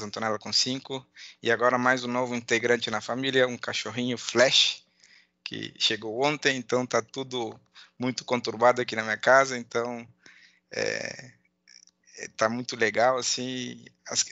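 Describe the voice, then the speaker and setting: A middle-aged man with a southern Brazilian border accent touched by Uruguayan, giving an informal online presentation in a halting way, repeating words and checking in often. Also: mid-range voice, sharp tone, no room sound, highs cut off. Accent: southern Brazilian border accent with Uruguayan influence. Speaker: conference speaker, accountant and data analyst. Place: at home, indoors.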